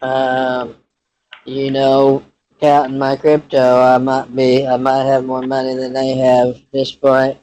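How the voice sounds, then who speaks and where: A man acts out a young Texan ranch hand with a very monotone delivery. He is laid-back and folksy, rambling through his phone conversation in halting phrases at a middle pitch. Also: muffled recording, a little hiss or hum, natural voice. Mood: easygoing, rambling. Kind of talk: improvised prank phone call in character.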